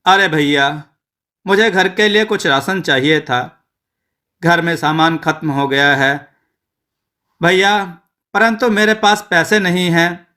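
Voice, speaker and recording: A needy village neighbour as a male storyteller reads him, politely imploring. The voice is bright and a little high, and the words come slowly. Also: steady tone. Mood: pleading. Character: needy, pleading village neighbour man asking for credit. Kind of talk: storybook character dialogue.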